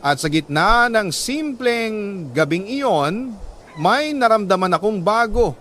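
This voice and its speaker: A man reading a listener's love letter as a radio storyteller, in sentimental dramatic narration with deliberate pauses. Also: sits high, expressive, fairly bright tone, a little hiss or hum.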